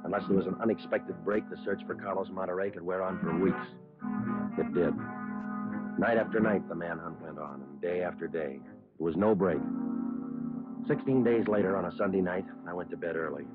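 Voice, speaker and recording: Man acting as deadpan American police sergeant, low voice, quick clipped delivery, terse, vintage radio crime drama, muffled old recording. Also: over loud music, close to an everyday voice. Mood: grim, matter-of-fact. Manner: deadpan, clipped, matter-of-fact. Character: terse, businesslike male police sergeant narrating his manhunt. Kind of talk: 1950s radio crime drama narration and dialogue.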